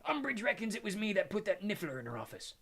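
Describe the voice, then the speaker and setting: A man voicing a huge, gruff but soft-hearted half-giant gamekeeper who stammers in a rustic storybook voice. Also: sits high, big pitch swings, fast talker, voice pushed up, full character voice. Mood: indignant.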